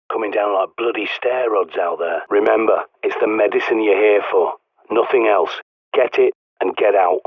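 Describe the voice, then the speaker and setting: An actor playing a gruff, bossy middle-aged survivalist in a video game, blunt and sardonic, heard through a radio filter. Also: male voice, lowish voice, dry recording. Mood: gruff, cautioning. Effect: radio transmission filter. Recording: tinny, band-limited radio with static.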